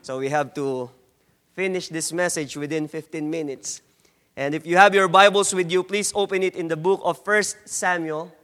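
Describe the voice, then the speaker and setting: A man from the Philippines with a strong Filipino accent, preaching a slow, emphatic sermon as a guest preacher and reading scripture. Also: on the high side, steady tone, a bit bright, big-room sound. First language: not English.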